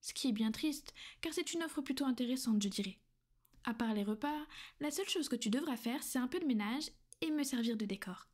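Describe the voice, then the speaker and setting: A woman playing a gentle but possessive vampire noblewoman, speaking softly and often in a whisper for an ASMR roleplay. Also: high-pitched, expressive, a little fast. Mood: calm, matter-of-fact. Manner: soft, soothing, often whispered.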